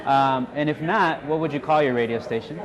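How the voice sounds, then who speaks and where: A man's voice: medium pitch, brisk. A man facilitating a workshop, informally giving instructions to a group, recorded live in a room. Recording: live room with audience chatter.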